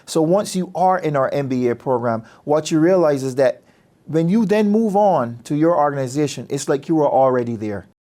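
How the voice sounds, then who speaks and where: A man from Barbados, a business school dean speaking about his program in a measured, earnest, persuasive way. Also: fairly high pitch, animated, warm tone. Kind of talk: promotional interview talk.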